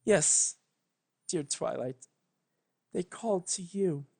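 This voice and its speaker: A man voicing an ancient regal female pony princess, tender, proud and sorrowful, speaking solemnly and haltingly. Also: high voice, expressive, gravelly, warm tone, breathy edge, heavily acted. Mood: tender, tearful.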